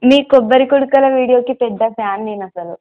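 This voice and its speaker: A woman's voice: on the high side, fast, no echo. A woman prank caller chatting casually on the phone in a coy, insistent, teasing way. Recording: slightly dull, dry phone call audio.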